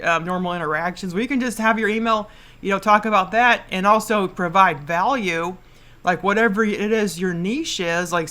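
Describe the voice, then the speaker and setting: A woman's voice: fairly low pitch, sharp tone. A woman in her late thirties hosting a podcast solo, casually giving advice in a rambling, repetitive way and stumbling over words. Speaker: podcast host.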